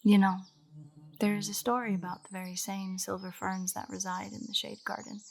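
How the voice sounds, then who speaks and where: A woman's voice: mid-range voice, flat delivery, brisk, creaky, a little mellow, slight background noise, close to an everyday voice. A woman playing an earnest, nerdy royal botanist in a fantasy audio roleplay, speaking in a precise, formal, lecturing way directly to the listener. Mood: wry, storytelling. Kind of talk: roleplay audio drama, scripted monologue to the listener. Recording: birdsong behind the voice. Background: birdsong, garden ambience.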